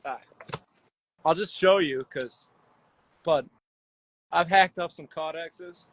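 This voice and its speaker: A man joining as a guest on a call, talking casually with a relaxed, swearing manner over his phone. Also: fairly high pitch, slow pace, a little hiss or hum. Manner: laid-back, swears casually. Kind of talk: casual chat.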